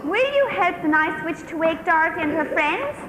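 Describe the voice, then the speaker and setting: A woman's voice: very high voice, expressive, moderate pace, background noise. A woman playing a sweet, earnest storybook figure in a stage pantomime, with a theatrical, coaxing manner. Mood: coaxing, cheery. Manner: bright-spoken, theatrical, coaxing the audience. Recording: echoey hall stage recording with music.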